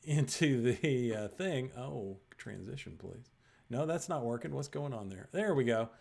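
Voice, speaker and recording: A man hosting an improv show over a video call, lively and quick with asides and frequent laughs. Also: mid-range voice, creaky.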